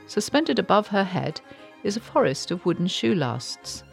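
Woman podcast host reading her script, calm, bit of fry in the voice, faint music. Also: medium pitch. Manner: calm, measured, descriptive. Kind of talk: scripted narration and interview questions.

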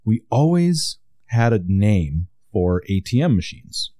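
Low-voiced Wisconsin man, dark tone. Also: sing-song, takes it slowly, no room sound, clean studio sound. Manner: easygoing storyteller. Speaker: podcast host. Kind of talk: casual interview chat.

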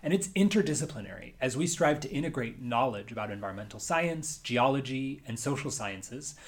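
A male university lecturer giving measured, scripted narration about a course. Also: medium pitch, expressive.

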